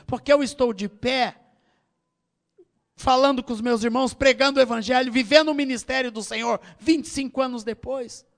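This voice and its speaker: Middle-aged Brazilian male pastor, Brazilian Portuguese accent, fairly high gravelly voice, fervent sermon delivery. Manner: preaching with big swings from loud high exhortation to quiet low tones.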